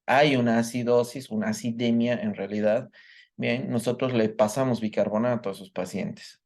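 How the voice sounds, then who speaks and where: A man's voice: mid-range voice, darkish tone. Man teaching physiology. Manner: explains step by step, checks understanding with students. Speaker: medical lecturer. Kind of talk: live online workshop lecture.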